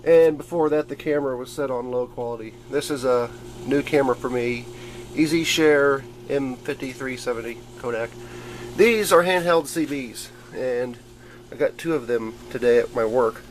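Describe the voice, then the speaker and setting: A man's voice: mid-range voice, normal speed. A man giving a casual, rambling show-and-tell of his gadgets, explaining things informally as a hobbyist. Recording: clearly noisy amateur camera audio.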